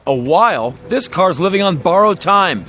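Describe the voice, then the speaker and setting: A man's voice: high voice, lively intonation, moderate pace, bright, forceful tone, slight background noise. An actor playing a weary, earnest used car salesman in a radio drama, with a hesitant, folksy way of talking. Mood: reluctant, frank. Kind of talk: old-time radio drama acting.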